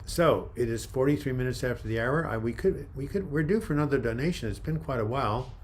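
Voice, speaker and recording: An older man hosting a casual live chat, reading viewer comments in a calm, soft-spoken way with frequent restarts. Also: mid-range voice, quick pace, slight background noise. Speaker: livestream host.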